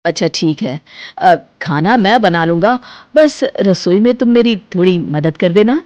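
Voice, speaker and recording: A woman voicing a patient, clever young farmer husband in an animated story, speaking in a calm, gently persuasive way. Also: medium pitch, unhurried, noisy background, natural voice.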